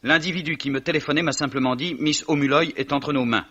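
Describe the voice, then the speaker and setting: An actor playing an earnest, fussy young English gentleman, plaintive and polite, in 1950s French radio drama style. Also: on the high side, fairly even intonation, fast. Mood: flustered. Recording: dull, slightly rough old radio recording.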